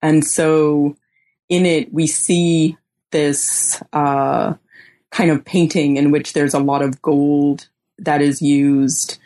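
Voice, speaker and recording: A woman from the Bahamas answering interview questions about her work, measured and thoughtful, with many pauses and fillers. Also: sits low, flat delivery, warm tone. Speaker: guest author.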